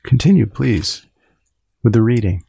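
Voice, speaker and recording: A male podcast host with plenty of vocal fry, recorded very dry. He interviews in a deadpan, deliberate way. His questions and prompts are short, and he likes to repeat a phrase. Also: medium pitch, no echo.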